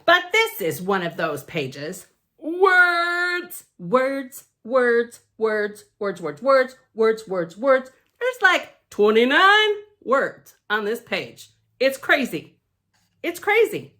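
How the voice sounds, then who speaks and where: A woman reading a picture book aloud to children, theatrically emphatic and playfully grumpy, with chatty deadpan asides. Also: on the high side. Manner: theatrical, emphatic, with pauses and repeated words for effect. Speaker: library storytime reader.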